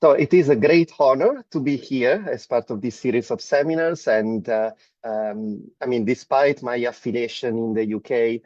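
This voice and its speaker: A man's voice: medium pitch, moderate pace, darkish tone, no echo. Italian man giving a webinar lecture. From Italy. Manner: hesitant, with frequent fillers and restarts. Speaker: medical oncologist presenting a webinar. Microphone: video call. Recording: dull lo-fi call audio.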